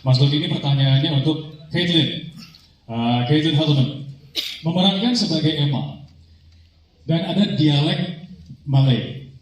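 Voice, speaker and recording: A man moderating a press conference, brisk and upbeat, mixing Indonesian with English phrases. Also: a little high, a little hiss or hum. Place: event hall. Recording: echoey hall recording from a distance.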